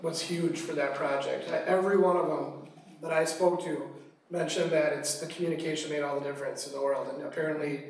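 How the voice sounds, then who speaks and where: Chatty male council member; far mic, booming room. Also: steady tone, very echoey, a little hiss or hum. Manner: chatty, quick asides. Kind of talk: casual meeting talk. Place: council chambers, large room.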